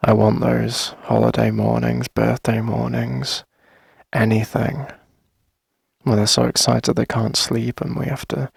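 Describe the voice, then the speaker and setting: A man playing a tender, reassuring boyfriend in an intimate ASMR roleplay, speaking softly and close to the mic with gentle, coaxing pauses. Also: no room sound, full character voice. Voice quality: husky, breathy, creaky low murmur. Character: tender, reassuring boyfriend longing to start a family. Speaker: ASMR audio roleplay performer. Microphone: close dry microphone.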